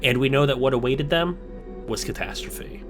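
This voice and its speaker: A man giving scripted, measured voice-over narration for a video essay, slowing down for emphasis, with soft guitar music behind. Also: mid-range voice.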